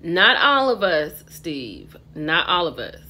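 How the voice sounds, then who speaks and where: A woman's voice: lowish voice, very animated, slow, sharp tone. A woman in her thirties with an American accent, giving a casual, conversational and opinionated online recap with emphatic key words. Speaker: online gossip commentator. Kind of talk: casual vlog recap and commentary.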